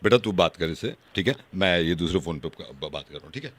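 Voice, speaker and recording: A man's voice: sits low, expressive, a little fast, voice pushed down. A man playing a jovial, pushy middle-aged father on a prank phone call, chuckling and repeating himself in a familiar way. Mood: breezy, busy.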